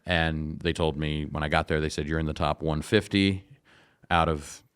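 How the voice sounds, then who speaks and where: A man's voice: rumbling low, level tone, moderate pace, clear, resonant tone, no room sound, studio quality. A man telling stories casually as an interview guest, in a laid-back, conversational way. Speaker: interview guest, singer and musician.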